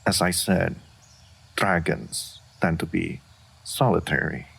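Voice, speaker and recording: Solitary, dignified fantasy dragon, played by a man: gravelly and husky, formal, unhurried, reserved. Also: sits low, a bit bright, full character voice. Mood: reserved, pensive. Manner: formal, measured, deliberate, reserved. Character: aloof, solitary, dignified old male dragon of great power. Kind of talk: scripted fantasy roleplay audio.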